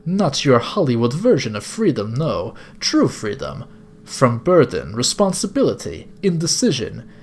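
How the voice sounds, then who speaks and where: A man's voice: mid-range voice, sing-song, unhurried. Elderly game villain, played by a man: serene, grandiose cult lord, foreign accent, unctuous, swooping delivery. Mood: preaching. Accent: put-on foreign accent. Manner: formal, unctuous, preaching. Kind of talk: video game villain monologue.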